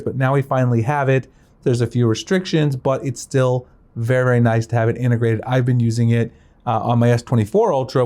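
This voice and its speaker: A man with a mellow, relaxed voice of medium pitch walks viewers through phone features. His delivery is informal and conversational, explaining each step as a tech presenter would. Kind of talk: casual step-by-step phone demonstration.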